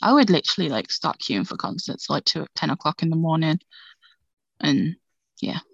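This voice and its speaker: Woman in her 30s rambling on a podcast, lowish gravelly voice, dull dry lo-fi sound. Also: expressive, moderate pace, dry recording. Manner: chatty, says "like" a lot, laughs often. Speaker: podcast co-host. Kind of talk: casual chat.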